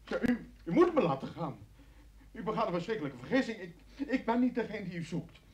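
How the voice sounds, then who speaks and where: High-pitched, panicky, protesting man. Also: very animated, fairly quick, darkish tone. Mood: agitated protest. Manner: stammering, protesting. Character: panicked, indignant man wrongly detained by security. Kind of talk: radio drama acting. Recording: old radio play with background noise.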